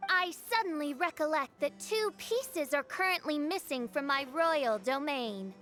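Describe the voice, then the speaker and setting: Game role: grandiose teenage gothic princess, high and bright, pompous archaic phrasing. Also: female voice, lively intonation, measured pace, soft background music. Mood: flustered but regal. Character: haughty theatrical teenage girl playing a gothic princess. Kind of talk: video game voice acting.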